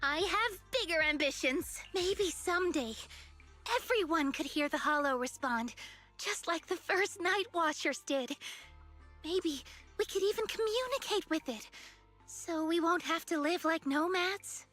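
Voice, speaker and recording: A woman's voice: extremely high pitch, expressive, measured pace, fairly bright tone, exaggerated voice. A woman playing an earnest, eager young researcher with big dreams in a video game. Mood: hopeful, earnest. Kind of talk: English video game voice acting. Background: game music.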